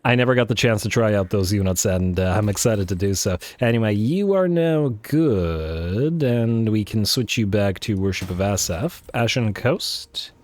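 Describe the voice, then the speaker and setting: Game commentator, male, lowish voice, hoarse from overuse, muses aloud with many fillers. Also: animated, moderate pace, few pauses, a little mellow. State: losing his voice. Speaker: let's play host. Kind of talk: casual strategy game commentary.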